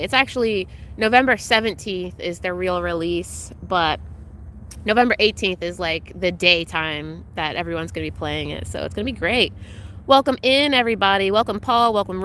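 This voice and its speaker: A woman's voice: mid-range voice, moderate pace, fairly bright tone, slight background noise. A young adult woman streaming live, chatting casually and greeting viewers by name, her voice slightly congested, with frequent ums. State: congested, recovering from illness.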